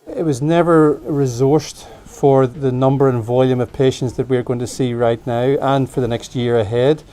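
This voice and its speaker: A man's voice: medium pitch, mellow. A man from a health service explaining earnestly in an interview.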